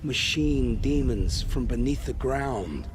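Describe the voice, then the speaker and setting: A man's voice: mid-range voice, expressive, takes it slowly, noisy background. An actor playing a fearful male tribesman who speaks in a horrified, pleading way.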